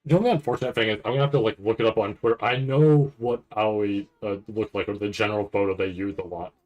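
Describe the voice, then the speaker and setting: Anxious, rambling man, lowish voice. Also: fairly even intonation, muffled recording. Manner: rambling, halting, repeats words; anxious, nervous anticipation. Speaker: anime commentator reacting to a livestream event. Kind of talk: casual live reaction commentary.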